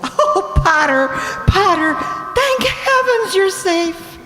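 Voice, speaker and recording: Woman playing an impassioned, high-voiced captive heroine. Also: sing-song, takes it slowly, loud music behind the voice, heavily acted. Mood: urgent, tender. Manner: impassioned, theatrical declaiming. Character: defiant, devoted young heroine held captive. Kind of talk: melodramatic radio play acting. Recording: echoing hall reverb.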